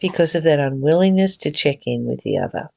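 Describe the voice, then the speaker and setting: A woman giving a reflective spiritual reading and teaching in an earnest, deliberate, gently exhorting way. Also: sits low, expressive, gravelly. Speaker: reader and spiritual study teacher. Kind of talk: read-aloud spiritual text with reflective, informal commentary.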